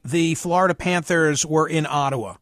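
A man hosting a radio talk show, reading news aloud and commenting conversationally with emphatic, dramatic pauses. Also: a little high, a bit bright, faint music behind.

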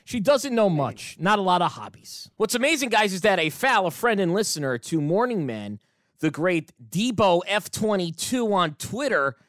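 A man's voice: high voice, big pitch swings, moderate pace, fairly bright tone, no room sound, studio quality. A man hosting a comedic radio show, playful and teasing, laughing often. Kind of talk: casual comedic radio chat.

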